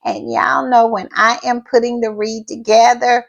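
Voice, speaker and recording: A woman hosting her own channel in casual chat, her voice froggy and hoarse, sounding tired and repeating her thank-yous. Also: a little high, level tone, lo-fi sound. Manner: drawn-out, repetitive thank-yous and southern-style "y'all" asides. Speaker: channel host.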